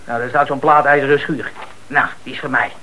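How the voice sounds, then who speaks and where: Small-time crook, played by a man: defensive bluster, quick, forceful and high-pitched, for radio drama. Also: steady tone, lightly acted, poor audio. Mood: surly defiance. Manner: indignant, blustering, streetwise. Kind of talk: radio play acting.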